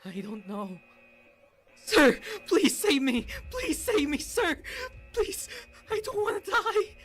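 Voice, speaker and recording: Panicked youngster, very high and swooping, whiny and clingy, over music. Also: wildly swooping pitch, slow pace, fairly bright tone, exaggerated voice. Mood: terrified pleading. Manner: frantic, whining, clingy. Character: panicked young character pleading to be saved. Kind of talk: amateur voice acting demo. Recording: music under the voice.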